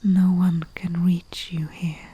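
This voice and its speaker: A woman playing a humble, soothing herbalist in a soft-spoken ASMR roleplay, with a breathy whisper and a hushed manner. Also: on the low side, flat delivery, full character voice. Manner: slow, hushed, soothing, with long pauses. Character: humble female herbalist, soothing and deferential servant to nobility. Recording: faint hiss with chirping night crickets. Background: crickets and night insect ambience.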